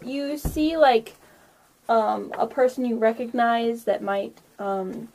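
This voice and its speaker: Casual female game master, slightly high voice, narrating a group game over faint noise. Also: steady tone, takes it slowly, darkish tone.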